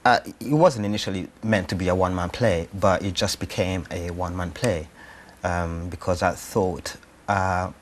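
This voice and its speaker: Male interviewee, low pitch, hesitant conversational delivery, faint background noise. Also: steady tone, normal speed. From Rwanda. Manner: hesitant, thinking aloud. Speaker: actor-writer being interviewed.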